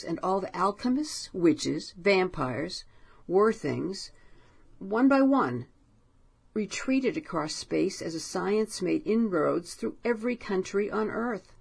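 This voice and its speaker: A woman's voice: medium pitch, gravelly, darkish tone, breathy edge, voice pushed up, full character voice. A woman voicing a grim, frightened and angry male writer in a storybook reading, pleading urgently. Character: grim, brooding male writer, driven and bitter.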